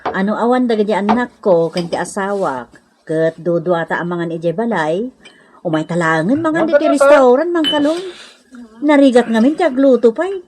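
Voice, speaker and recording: Woman acting a lively Filipino madam, slightly noisy recording. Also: mid-range voice, moderate pace, lightly acted. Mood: coaxing. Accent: Filipino, Ilocano. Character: well-off, generous, coaxing madam. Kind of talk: Ilocano comedy radio drama acting.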